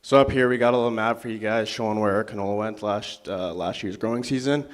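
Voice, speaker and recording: A man's voice: lowish voice, fast talker. A young man from Saskatchewan, presenting crop figures in a class talk and trailing into fillers when he loses his place. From Saskatchewan, Canada. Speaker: college student presenter.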